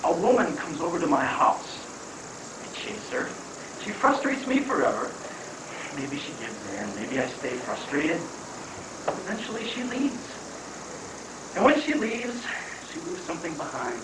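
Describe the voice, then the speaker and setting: A frustrated, insecure man played by a stage reader who talks slowly and hesitantly, his plaintive pitch leaping about. It is a satirical play reading, heard from a distance in an echoey room. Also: big pitch swings. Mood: plaintive, puzzled. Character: frustrated, insecure man seeking a guru's advice. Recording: echoey room, far from mic, noisy.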